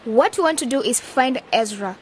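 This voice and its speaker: A woman's voice: on the high side, animated, moderate pace, slight background noise, lightly acted. A woman acting an assertive, articulate young woman in a radio drama. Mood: exasperated. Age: young adult. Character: sharp young woman, planning a community radio station.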